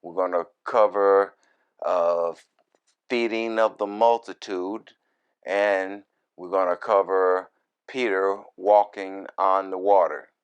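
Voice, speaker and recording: A man who is a minister reads scripture verse by verse and explains it in deliberate, broken short phrases with folksy, informal asides. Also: deep voice, fairly even intonation, clear, resonant tone. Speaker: minister, Bible teacher.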